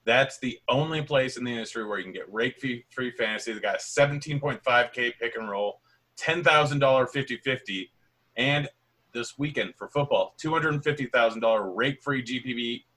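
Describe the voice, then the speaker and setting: Bright-voiced man hosting a fantasy podcast. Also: mid-range voice. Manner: upbeat, rapid-fire sponsor read and game breakdown. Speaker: podcast host. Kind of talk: fantasy basketball podcast chat.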